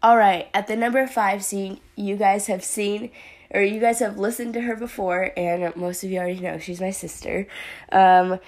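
Young woman, podcast host, casual and upbeat, hoarse from a sore throat, gravelly fry. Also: medium pitch, fairly even intonation, normal speed, darkish tone. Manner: chatty, upbeat, filler-heavy. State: sore throat, slightly raspy voice. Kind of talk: casual solo podcast talk.

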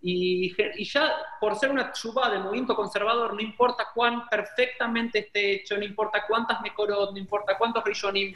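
A man's voice: sits high, fairly bright tone, echoey room, low-quality recording. A man, a rabbi hosting an interview, talking emphatically and listing his points. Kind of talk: interview conversation.

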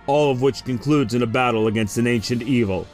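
A man's voice: mid-range voice, fairly quick, a little mellow, faint music behind. A man reading scripted promotional narration as a trailer announcer.

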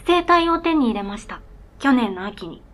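Woman as polite, high-voiced talking snowmobile. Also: big pitch swings, normal speed, a bit bright. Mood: deadpan. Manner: polite, formal, matter-of-fact. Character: earnest, polite talking snowmobile with its own identity. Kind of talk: radio drama dialogue.

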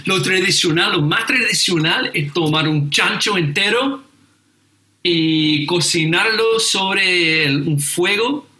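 A man's voice: sits high, bright, forceful tone, echoey room. A man from England, speaking as a guest in casual conversation, hesitant, with many fillers and restarts. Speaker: seminary teacher, guest.

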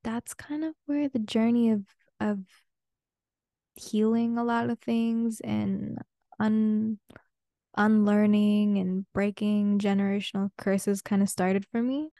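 A young woman from Peru, whose first language is Spanish, hosts her own podcast in a reflective, open-hearted way. Her voice is warm and relaxed, and it sits in a middle range. The sound is dry and close, with no room around it. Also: mid-range voice, takes it slowly, no room sound. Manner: earnest, open, casual with slang. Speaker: podcast host. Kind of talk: reflective solo podcast talk.

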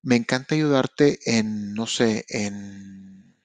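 A man's voice: lowish voice, very slow, no room sound. Frank Mexican man with a slangy podcast talk. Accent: Mexican Spanish. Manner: frank and slangy, addresses listeners directly, with restarts. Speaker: podcast host. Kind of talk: casual motivational podcast monologue. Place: lives in Canada.

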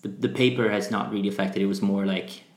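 Young Brazilian guy, Portuguese-native, low-ish voice, rambly podcast guest, roomy echo. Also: steady tone, quick pace. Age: young adult. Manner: rambling, many fillers and restarts. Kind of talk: casual conversational interview.

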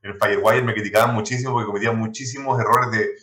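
Fast-talking Chilean man, echoey room. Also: fairly low pitch. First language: not Spanish. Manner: quick, animated storytelling with many restarts. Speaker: guest, tech blog founder. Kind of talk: conversational interview.

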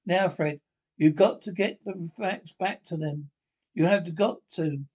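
A man reading a radio drama script aloud, halting and stumbling over words, repeating some and reading out the speaker names. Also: sits high, darkish tone, husky. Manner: reads speaker names aloud along with the lines. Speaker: amateur script reader.

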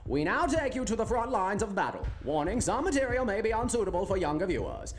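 A woman's voice: mid-range voice, very animated, fast. A woman playing a solemn, dramatic television news anchor in a comic mock broadcast. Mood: apologetic, formal.

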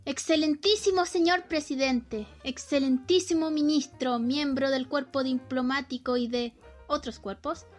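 A woman's voice: high voice, a little slow, faint music behind. A young Chilean woman playing a bored young wife who muses ironically about doing away with her husband, in a student radio drama. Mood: mock oratory. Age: young adult. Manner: theatrical, ironic monologue with dramatic swings. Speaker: student actor.

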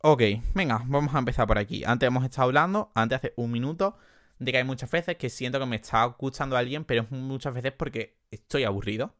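A young man with an Andalusian accent hosting a casual solo podcast from a bedroom, chatty and self-mocking, quick to laugh. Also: medium pitch, a bit bright, no room sound, studio quality. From Andalusia, Spain. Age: young adult. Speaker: podcast host. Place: his sister's bedroom.

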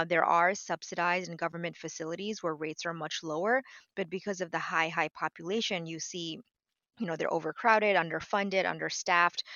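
A woman's voice: lowish voice, gravelly, a bit bright, no room sound. A young woman from Bangladesh who grew up in New York, talking candidly as an interview guest, with lots of fillers and restarts. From born in Bangladesh, raised in New York City. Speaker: guest, health insurance advisor. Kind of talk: casual interview talk. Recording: dull lo-fi audio.

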